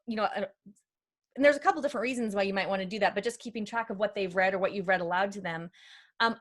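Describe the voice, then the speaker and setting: A woman's voice: mid-range voice, a bit bright. A woman speaking as a guest expert, giving informal conversational advice and acting out little imagined quotes as examples. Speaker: guest expert on reading aloud with children. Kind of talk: conversational advice in a panel discussion.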